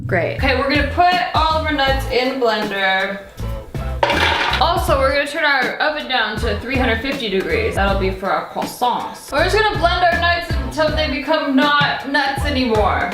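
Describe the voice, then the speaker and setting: A woman's voice: sits high, animated, moderate pace, echoey room, over music. A woman cooking in her home kitchen, chatting casually and joking at her own expense. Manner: chatty, jokey, self-mocking asides. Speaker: cooking vlogger. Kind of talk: casual cooking vlog chat.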